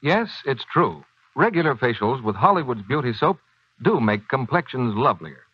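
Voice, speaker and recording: A man's voice: mid-range voice, big pitch swings, normal speed, breathy edge, no echo. A man announcing on 1940s radio in a polished, persuasive scripted sales style. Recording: dull, dry 1940s radio broadcast.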